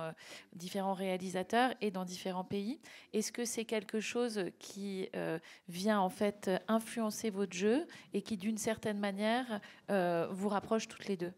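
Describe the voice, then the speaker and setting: A woman moderating a talk, putting questions to guests in a halting way with frequent hesitations. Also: mid-range voice, darkish tone. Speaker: panel moderator. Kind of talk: asking questions at a live talk.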